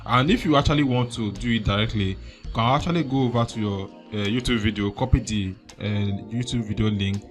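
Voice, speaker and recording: A man with a Nigerian accent hosting a screen-recorded software tutorial, chatty and leaning on filler words like "so" and "actually". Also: lowish voice, a little fast, soft background music. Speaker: tutorial host.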